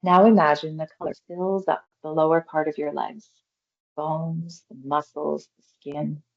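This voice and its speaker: Casting: woman, low creaky voice, meditation guide, gentle and reassuring, unhurried phrasing, slightly muffled recording. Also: little pitch movement. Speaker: mindfulness exercise guide. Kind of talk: guided meditation, body scan instruction.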